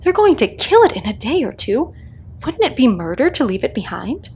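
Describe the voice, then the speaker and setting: Curious storybook girl read by a woman: polite, primly sensible, earnest questions, highly varied pitch. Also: sing-song, moderate pace, mellow, a little hiss or hum. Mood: worried, scolding.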